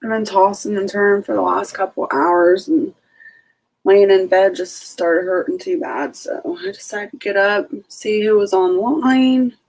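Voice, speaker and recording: A woman's voice: mid-range voice, unhurried, vocal fry, darkish tone, rough recording. A sleepy woman hosting a late-night casual live stream from home, greeting each viewer by name. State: sleepy, just out of bed. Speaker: homestead channel host.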